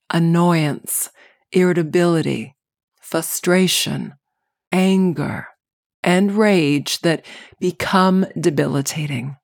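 A woman podcast host narrating a scripted guided meditation in measured, deliberate phrases. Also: on the low side, a little mellow, no room sound, studio quality.

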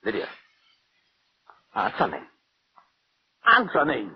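An actor playing a frantic, suspicious husband in a 1940s radio drama, halting and repeating his demands. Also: lowish voice, huge pitch swings, husky, exaggerated voice. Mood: agitated, suspicious. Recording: muffled old radio broadcast, hiss.

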